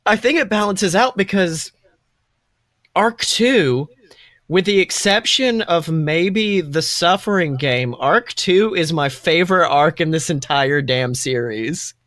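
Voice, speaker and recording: A man's voice: a little high, animated, slow pace, a bit bright, no room sound, studio quality. A man co-hosting a podcast in casual fan chat, gushing enthusiastically in rambling, hyperbolic stories. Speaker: podcast co-host.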